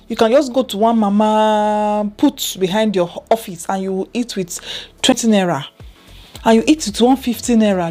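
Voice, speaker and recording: A woman's voice: medium pitch, a little mellow. A woman in her thirties from Nigeria with a Nigerian accent, chatting casually to camera as a vlogger and repeating phrases for emphasis.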